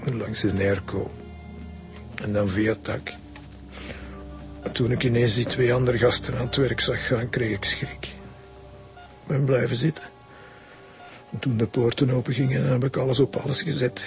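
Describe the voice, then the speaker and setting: A man with a Flemish accent playing a defensive, down-on-his-luck petty thief who talks haltingly and colloquially in a radio crime drama. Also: medium pitch, steady tone, gravelly, faint music behind, close to an everyday voice. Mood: weary, confessing.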